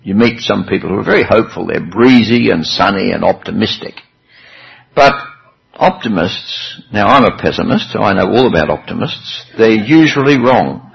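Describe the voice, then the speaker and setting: A man with a low, gravelly and husky voice preaching a chatty sermon, with dry self-deprecating humor. The sound is dull and lo-fi, as if recorded in a church hall. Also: animated, moderate pace.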